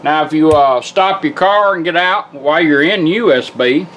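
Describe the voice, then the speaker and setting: Folksy man, loud music behind. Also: on the high side, normal speed, dull audio. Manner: folksy, plain-spoken. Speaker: product demonstrator, car radio builder. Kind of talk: informal hands-on product demo. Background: car radio playing music.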